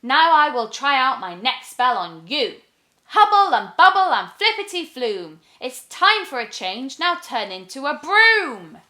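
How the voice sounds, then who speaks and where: Lady doing a mischievous little storybook witch, bright and loud, super dramatic chanting, quick temper. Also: high voice, very animated, roomy sound, pitched above the performer's own voice, full character voice. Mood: dismayed. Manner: exclaiming, dramatic spell chanting in rhyme.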